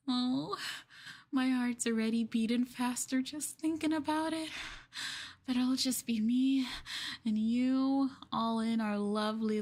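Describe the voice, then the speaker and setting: Woman acting a slow, sweetly possessive yandere girlfriend. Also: on the high side, darkish tone. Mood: coaxing, dreamy. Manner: cooing, breathy asides, coaxing tag questions. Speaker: ASMR roleplay streamer. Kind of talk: ASMR girlfriend roleplay, addressing the listener intimately.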